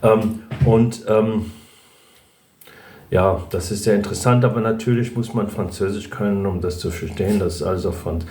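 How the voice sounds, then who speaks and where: A man's voice: fairly low pitch, fairly even intonation, moderate pace, mellow. A middle-aged man from Brittany, chatting casually in a vlog, hesitant and rambling with many fillers and restarts. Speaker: language vlogger. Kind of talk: casual chat about learning a language. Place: at home with a dog nearby. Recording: echoey room.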